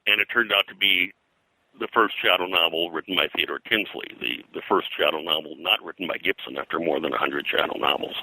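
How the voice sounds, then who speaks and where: Very deep, forceful older man. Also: dry recording, poor audio. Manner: halting and digressive, frequent throat clearing. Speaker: guest, pulp and comics historian. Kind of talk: interview conversation, reminiscing about his work.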